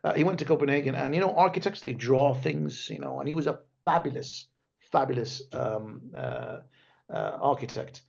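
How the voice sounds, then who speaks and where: Gravelly man on a webinar call. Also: mid-range voice, moderate pace, darkish tone, highs cut off. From Denmark. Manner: conversational, frequent "right?" tags and restarts. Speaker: guest speaker, tech executive. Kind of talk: webinar conversation. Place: remote video call. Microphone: video call microphone.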